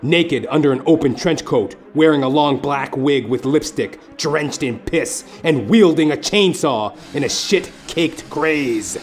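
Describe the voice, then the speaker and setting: A man's voice: on the high side, unhurried, hardly any pauses, faint music behind, lightly acted. A man playing a world-weary, down-on-his-luck private detective, narrating a comic noir audio play with wry delivery. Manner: wry, hard-boiled storytelling.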